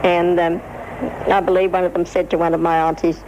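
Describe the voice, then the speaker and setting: An older Australian woman reminiscing in an interview, telling her story in a measured, deliberate way. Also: on the low side, little pitch movement, darkish tone. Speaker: interviewee, veteran stage performer. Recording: muffled, faintly noisy archival interview.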